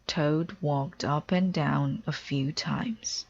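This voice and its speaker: Very slow, low-pitched woman reading a storybook. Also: creaky, dull audio. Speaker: storybook reader. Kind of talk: children's read-aloud narration.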